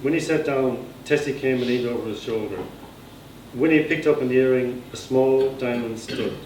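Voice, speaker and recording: A man's voice: mid-range voice, moderate pace, a little mellow. A man with an Irish accent reading his own literary fiction aloud as a scripted narration. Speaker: author reading own novel extract. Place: indoor reading at a society gathering. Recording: echoey room, distant mic, faint noise.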